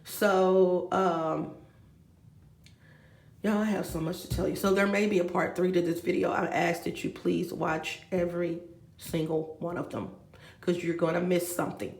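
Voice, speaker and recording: Woman in her 50s, vlogger with a gravelly voice, echoey room, rambling and chatty. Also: lowish voice, level tone, unhurried. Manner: rambling, talks straight to viewers with asides. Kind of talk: rambling confessional vlog. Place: room with a bed, indoors.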